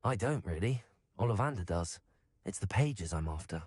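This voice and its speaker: An actor playing a determined, curious young wizard student in a video game, with a British accent and a direct manner. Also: male voice, low-pitched, expressive, moderate pace, a little mellow, no room sound, studio quality.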